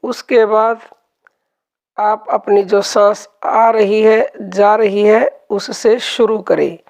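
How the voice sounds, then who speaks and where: A woman leading a guided meditation, giving calm, gentle instructions and softly repeating her phrases. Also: medium pitch, slow, creaky.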